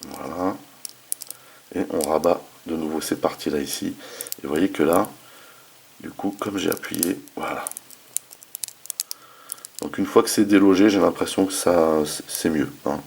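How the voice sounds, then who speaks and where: A man's voice: low voice, a little slow, creaky, long pauses, darkish tone, a little hiss or hum. A man hosting a casual hands-on toy review, talking informally with slangy enthusiasm. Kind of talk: casual hands-on product demonstration.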